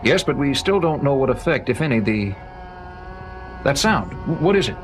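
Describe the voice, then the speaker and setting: An actor playing an authoritative government official in an audio drama, speaking formally and earnestly. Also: male voice, mid-range voice, big pitch swings, normal speed, background noise, close to an everyday voice. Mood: amazed. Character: calm, authoritative government official, adult man.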